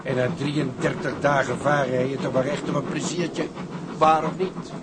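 An actor playing a cheery, plain-spoken sailor who is fond of food and drink, in a radio drama. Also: male voice, fairly even intonation, normal speed, close to an everyday voice. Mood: frank. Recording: loud train rumble behind.

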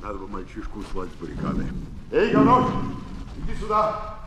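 An actor playing a stern, protective barricade commander in a dramatic Soviet radio play. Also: male voice, fairly low pitch, huge pitch swings, a little fast, noisy background. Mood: concerned. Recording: roomy 1970s flexi-disc drama, music behind.

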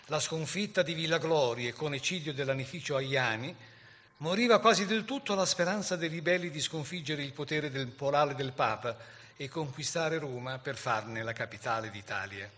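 A male narrator with a fairly high voice and a bright, forceful tone. He delivers scripted history for a radio play, formal and declamatory. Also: normal speed.

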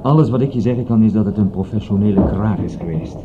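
A man's voice: on the low side, fast, close to an everyday voice. Man playing a drily teasing inspector, tinny sound. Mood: needling. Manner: dry, needling, politely condescending. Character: shrewd, drily teasing male police inspector. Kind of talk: radio drama dialogue. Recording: tinny, noisy old radio recording.

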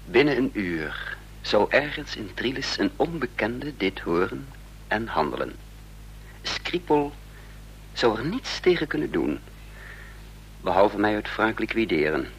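An actor playing a troubled man in love who tells his own espionage story in a measured, dramatic radio drama style. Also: lowish voice, sing-song, poor audio. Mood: grave, desperate. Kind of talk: Dutch radio drama narration, literary first person. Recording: faint noise and hum, old radio recording.

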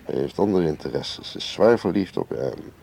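Low, creaky, suave spy. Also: male voice, lively intonation, normal speed, warm tone, heavily acted. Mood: cool, evasive. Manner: ironic, leisurely, ends remarks with questioning tags. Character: suave, cagey male foreign spy, teasing and unhurried. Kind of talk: radio drama acting. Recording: clearly hissy old radio play recording.

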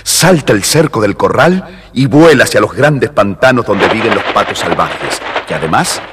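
A man tells a children's story in a low voice. His pitch moves in a lively, expressive way, with faint noise on the old recording. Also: moderate pace. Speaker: storyteller, narrator. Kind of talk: scripted children's story narration.

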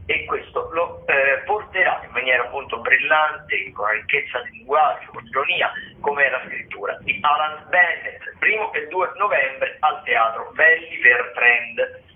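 A man presents arts listings as a radio host in a semi-improvised, hesitant way, searching for words mid-phrase. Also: on the high side. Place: radio broadcast. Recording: tinny, narrow phone-like radio sound.

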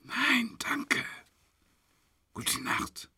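An actor playing a reserved, weary male teacher who narrates his own story in a measured literary radio drama style. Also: extremely high pitch, huge pitch swings, gravelly, pushed and bright, husky, dry recording, in a whisper, clean studio sound, heavily acted. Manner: measured, terse in replies. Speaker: first-person narrator.

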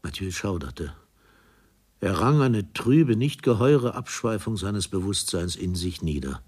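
An actor serving as the grave narrator of a radio play, reading literary prose in a measured manner. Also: male voice, low voice, big pitch swings, darkish tone, breathy edge, exaggerated voice. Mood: grave, tense.

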